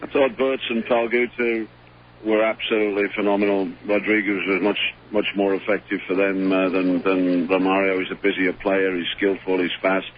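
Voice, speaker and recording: Hoarse man, head coach, low monotone voice, halting speech with fillers, muffled phone line, faint hum. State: hoarse, losing his voice. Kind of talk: post-match phone interview.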